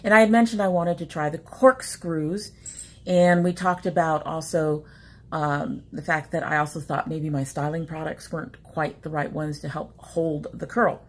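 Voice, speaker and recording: A woman's voice: low-pitched, fairly even intonation, moderate pace. Chatty sniffly woman unboxing at home. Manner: chatty, rambling, self-interrupting. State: sniffly from allergies. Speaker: beauty vlogger. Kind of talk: casual unboxing chat. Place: small room at home, indoors. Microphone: webcam at desk.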